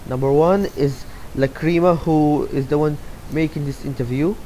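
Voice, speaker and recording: A man's voice: on the high side, normal speed, mellow, a little hiss or hum. A young man from Saudi Arabia answering interview questions about his art in a loose, rambling way. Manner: rambling, many fillers and restarts. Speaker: interview guest, artist. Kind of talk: casual answers to read-out questions.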